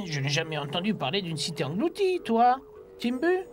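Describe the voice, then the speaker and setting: A man's voice: high-pitched, wildly swooping pitch, fairly quick. A man voicing a friendly, inquisitive island animal who chats away in a dubbed cartoon. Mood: curious. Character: friendly, curious animal castaway from Alaska. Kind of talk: dubbed low-budget cartoon dialogue. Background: music.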